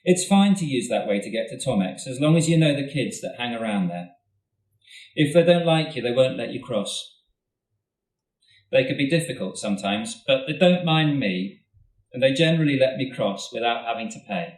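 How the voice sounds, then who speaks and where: A man, an author, reading his own short story aloud at a festival event in a steady, measured way, recorded in a hall from a distance. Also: medium pitch. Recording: roomy hall, mic at a distance.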